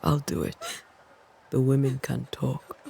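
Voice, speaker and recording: An actress playing a resolute, stern woman who banishes ghosts in a video game, speaking with measured firmness. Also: rumbling low, animated, vocal fry, mellow, slight background noise, full character voice. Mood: gentle, sorrowful. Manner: measured, firm. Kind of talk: dramatic video game acting.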